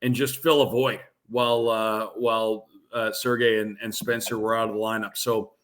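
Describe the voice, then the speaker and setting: A man speaking as a guest radio play-by-play announcer, giving measured, detailed sports analysis in a conversational way. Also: fairly low pitch, level tone, fairly bright tone.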